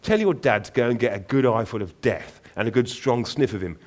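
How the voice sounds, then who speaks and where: A man voicing a huge raven with a loud, throaty voice and a London accent, cheerfully morbid. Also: medium pitch, animated, a little fast, lightly acted. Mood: gleeful.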